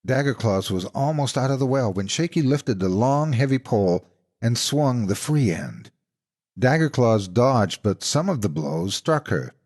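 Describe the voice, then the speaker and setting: A man narrating scripted stories as a horror narrator, deliberate and dramatic in his delivery. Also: mid-range voice, sing-song, a little mellow. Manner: deliberate, suspenseful storytelling.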